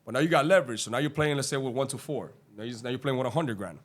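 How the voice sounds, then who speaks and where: A man from Cuba, a guest on a podcast, chatting casually about his career, swearing freely and rambling with many fillers. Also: medium pitch. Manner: profane, rambling, talks fast with lots of fillers. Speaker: guest, day trader.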